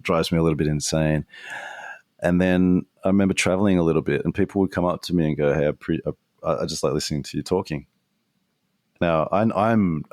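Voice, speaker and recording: A man with a deep voice, a podcaster talking as a guest in a casual, reflective conversation, rambling thoughtfully with many fillers and restarts. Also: dry recording, clean studio sound. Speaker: podcast guest, podcaster and former radio host.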